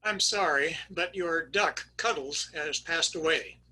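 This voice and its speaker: A man voicing a weary veterinarian who delivers bad news in a flat, matter-of-fact way in a readers theater sketch. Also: a little high, takes it slowly, slightly rough, exaggerated voice, poor audio. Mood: deadpan. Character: weary, deadpan male veterinarian.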